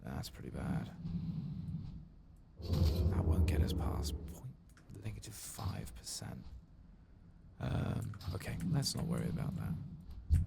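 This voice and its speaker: Very deep gravelly soft-spoken man. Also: very animated, takes it slowly, pauses often, whispered. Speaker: video game streamer and host. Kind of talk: soft-spoken ASMR game playthrough commentary. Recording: heavy background noise with game ambience.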